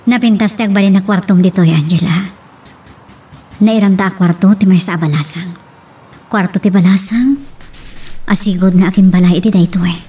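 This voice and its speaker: A woman's voice: medium pitch, steady tone, moderate pace, long pauses, dark tone, husky, distant mic, soft background music, lightly acted. A woman acting a tender, pleading mother in an Ilocano radio drama, with an Ilocano accent. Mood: gently welcoming. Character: tender, pleading mother seeking her daughter's love.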